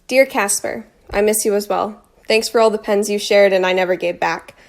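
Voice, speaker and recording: Young woman playing a blunt dry teen girl in an amateur play, mid-pitched voice. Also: fairly even intonation, moderate pace, natural voice. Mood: fond, wry. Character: frank, wry dead teenage girl answering letters. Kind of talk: amateur stage drama monologue.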